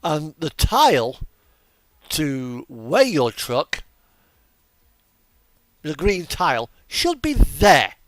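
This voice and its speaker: Lively man rambling over a driving game. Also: a little high, extremely slow, pauses often, slight background noise. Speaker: host of a truck simulator gaming video. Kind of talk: casual gameplay commentary.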